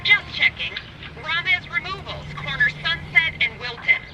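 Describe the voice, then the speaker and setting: A 40s phone operator, polite, high swoopy voice, really noisy line. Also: female voice, moderate pace, muffled recording. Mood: polite, efficient. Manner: polite, efficient. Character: helpful, efficient 1940s female telephone operator. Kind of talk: video game cutscene acting.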